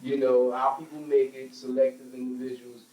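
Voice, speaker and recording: A man's voice: mid-range voice, level tone, normal speed, mellow. An American man in an opinionated group discussion, speaking emphatically like a preacher and repeating phrases to drive his points home. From United States. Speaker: panel speaker on a religious talk show. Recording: roomy echo, distant microphone.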